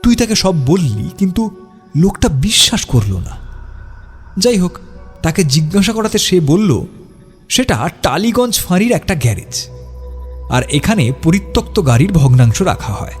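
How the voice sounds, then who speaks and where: A man's voice: sits high, big pitch swings, moderate pace, long pauses, a little mellow. A man narrating a scripted horror story in a dramatic, suspenseful storytelling style. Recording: music behind the voice. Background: music.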